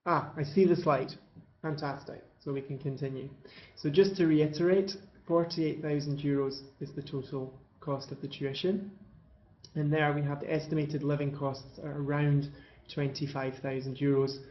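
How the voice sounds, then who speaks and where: Male webinar presenter, flat delivery, echoey dull lo-fi audio, measured and halting. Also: a little high. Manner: measured, halting with many fillers. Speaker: admissions presenter.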